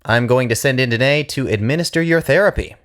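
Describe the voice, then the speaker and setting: A man voicing a young male doctor who is brisk, robotically pleasant and detached. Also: mid-range voice, a bit bright, pitched above the performer's own voice, lightly acted. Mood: detached cheer.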